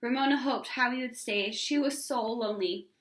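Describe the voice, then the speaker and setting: A woman's voice: a little high, normal speed, a bit bright. A woman teacher reading a children's storybook aloud and talking to her class. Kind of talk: storybook narration. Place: indoors at home. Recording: echoey room.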